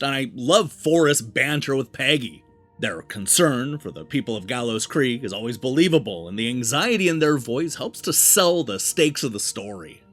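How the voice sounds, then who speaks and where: A man's voice: medium pitch, a bit bright. A man reading a scripted video game review as narration, with an emphatic, polished presenter's delivery. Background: background music.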